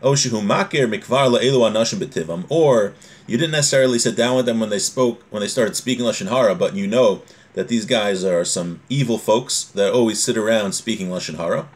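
A man's voice: on the low side, brisk. A man in his forties teaching an informal religious lesson, reading aloud and explaining, often repeating and rephrasing. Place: small home room, indoors.

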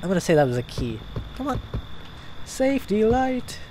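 A young man with a stuffy nose, chatting and reacting out loud to scares as he plays a horror game at home. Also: high-pitched, very animated, moderate pace, a little hiss or hum, low-quality recording. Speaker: gaming YouTuber. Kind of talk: horror game let's play commentary. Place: home gaming room, indoors.

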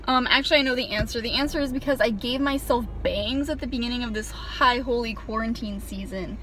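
A woman's voice: on the high side, brisk, fairly bright tone. A young woman telling a self-mocking, sarcastic story in a parked car, rambling with lots of ums, recorded by a camera on the dashboard. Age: young adult. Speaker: vlogger. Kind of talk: casual storytime monologue.

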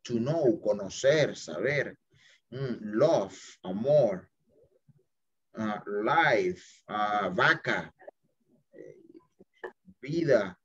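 Guy teacher on a video call, slow and chatty, keeps asking "ok?", muffled lo-fi sound. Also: fairly low pitch, lively intonation, slight background noise. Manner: chatty, checks in with "listo?" and "ok?", mixes English phrases. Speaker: English teacher. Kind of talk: screen-share app walkthrough for students. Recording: dull lo-fi video call audio.

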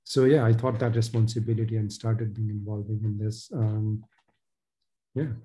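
A man's voice: lowish voice, flat delivery, moderate pace, mellow. A man, speaking earnestly as a panelist in a webinar over a headset on a video call. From Nepal. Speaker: panelist, advocacy co-director. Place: home room on a video call.

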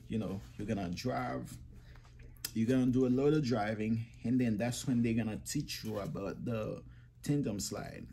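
A man's voice: medium pitch, creaky, warm tone, slight background noise. A young man in his twenties talking casually to the camera from a small room, rambling and repeating himself as he addresses viewers as guys. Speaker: trucking vlogger.

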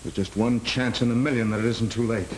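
An American actor in middle age playing a smooth, protective, troubled man in a 1930s film drama, speaking earnestly. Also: mid-range voice, steady tone, brisk, natural voice, poor audio. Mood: grave, resigned. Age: middle-aged. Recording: hissy 1930s film soundtrack.

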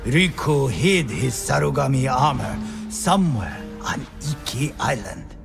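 A haunted former pirate telling dark tales in a game cutscene. He speaks slowly and theatrically, with a gravelly creak and big dramatic swings in pitch, over music. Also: big pitch swings, exaggerated voice. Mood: ominous, dramatic. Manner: ominous, theatrical, deliberate. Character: haunted old storyteller, surviving pirate crewman. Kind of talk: dramatic storytelling, video game cutscene acting.

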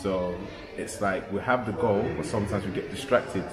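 Low-voiced Swedish-raised man in a gallery. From Sweden. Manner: reflective, halting, with many restarts. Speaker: painter showing his work. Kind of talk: casual interview chat. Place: indoor art gallery with pillars. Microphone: camera mic at close range. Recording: echoey gallery with loud music behind.

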